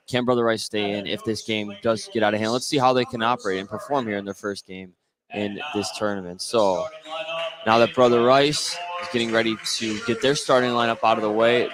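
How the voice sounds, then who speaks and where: A man calling a high school basketball game live as play-by-play announcer, speaking from courtside in a gym. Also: poor audio. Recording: gym crowd behind the voice.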